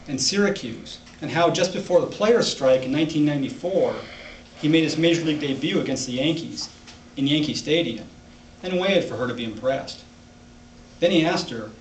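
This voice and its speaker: A man reading his own literary short story aloud to a live audience as its author. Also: on the high side. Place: library event room, indoors. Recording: echoey room, distant mic, background noise.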